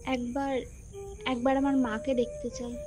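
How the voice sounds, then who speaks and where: A woman voices a young village wife in a story drama. Her voice is high and her answers are short and stubborn. Soft music plays faintly behind her. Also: very animated, unhurried. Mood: quiet longing. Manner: curt, sardonic, unyielding.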